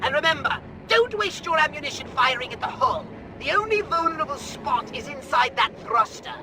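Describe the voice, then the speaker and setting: A man playing an excitable, scheming, pompous alien commander in a video game, verbose and theatrical, prone to bursting into shouts. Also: very high-pitched, normal speed, noisy background. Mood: gleeful excitement. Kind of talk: animated video game acting.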